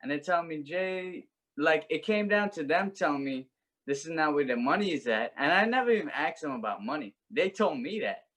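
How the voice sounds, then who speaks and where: A man's voice: a little high, expressive, moderate pace, fairly bright tone. A young man, telling his life story as a podcast guest in an earnest, reflective way. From Brooklyn, New York. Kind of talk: personal story in an interview.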